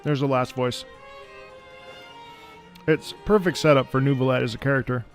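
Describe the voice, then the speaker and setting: A man's voice: medium pitch, steady tone, moderate pace. A man giving analytical live commentary on a video game and its music, as a reactor.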